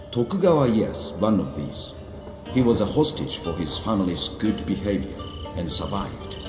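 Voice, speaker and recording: An actor playing a grave, wise Japanese elder of the samurai age, narrating a game cutscene in a solemn, measured, sententious way. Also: male voice, lowish voice. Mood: grave admiration. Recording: roomy echo over music.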